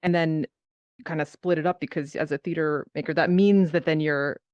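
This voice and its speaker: A theater maker chatting casually on a panel in a halting, informal way. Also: mid-range voice, fairly even intonation, dry recording. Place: virtual reality event, voice over personal microphone. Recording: dull, lo-fi dry sound.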